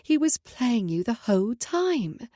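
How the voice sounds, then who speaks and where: A woman reading the part of a spiteful young woman who mocks the heroine with slow, drawn-out taunts. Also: fairly high pitch, sing-song, warm tone, no echo, voice pushed up. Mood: mocking. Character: cool-voiced young woman, spiteful and mocking.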